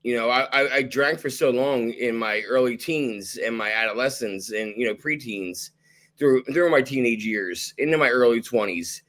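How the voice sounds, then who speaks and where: A man in his thirties hosting a podcast, laid-back and quick to laugh, swearing casually. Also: medium pitch, fairly bright tone. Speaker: podcast host. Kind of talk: casual interview chat.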